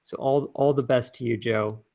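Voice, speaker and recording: Co-host, man, mid pitch with gravelly fry, loose rambling chat. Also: fairly even intonation, moderate pace, darkish tone. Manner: rambling, many "like" fillers. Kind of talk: casual chat.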